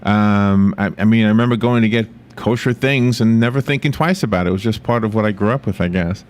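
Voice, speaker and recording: A man's voice: fairly low pitch, brisk, darkish tone. A man from the United States hosting a local radio interview, chatty and teasing, with many restarts. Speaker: radio host.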